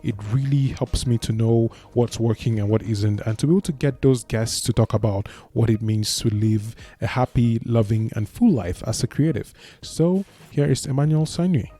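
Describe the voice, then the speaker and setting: A man's voice: medium pitch, moderate pace, hardly any pauses, mellow, husky. A man hosting a podcast, introducing and interviewing in a conversational style.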